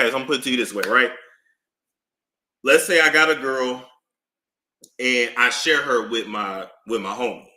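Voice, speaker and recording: Animated man with a bright, echoey voice. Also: medium pitch. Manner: animated, swings between loud outbursts and muttering. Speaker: streamer reading court documents. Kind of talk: casual commentary. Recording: echoey room.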